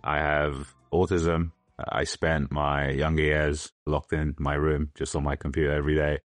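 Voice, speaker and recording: A man's voice: very deep voice, little pitch movement, a little slow, no echo, soft background music. A man, a guest telling his own story in a plain, matter-of-fact way. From London, England. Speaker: interview guest. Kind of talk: casual personal account.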